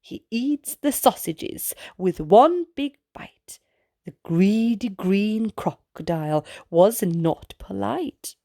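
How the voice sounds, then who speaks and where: A woman reading a children's picture book aloud as a storytime host, in an expressive way with exclaimed sound-effect words. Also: mid-range voice, no room sound, studio quality. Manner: slow, deliberate delivery for young children.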